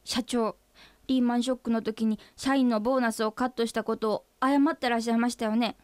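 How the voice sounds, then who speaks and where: A woman's voice: a little high, fairly even intonation, brisk, smooth, no echo, natural voice. An actress playing a stern, exasperated female employee in a comedic radio drama, confronting her boss in polite but blunt, matter-of-fact speech. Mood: accusing, firm.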